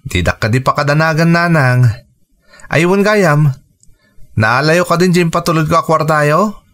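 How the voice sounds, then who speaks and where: A man's voice: a little high, measured pace, fairly bright tone. A man acting in a radio drama as a dutiful, reassuring young factory worker. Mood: warm reassuring. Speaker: voice actor.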